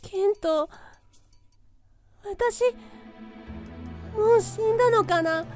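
Woman voicing spirited ghost girl in a japanese audio drama, high bright young voice, bossy but caring, music under it. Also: extremely slow, heavily acted. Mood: shaken, distressed. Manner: emphatic, expressive, pushy yet tender.

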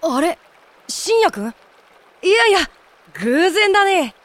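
A woman voicing a gentle, chatty, apologetic young man in a Japanese amateur voice drama. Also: very high-pitched, big pitch swings, slow, full character voice. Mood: breezy.

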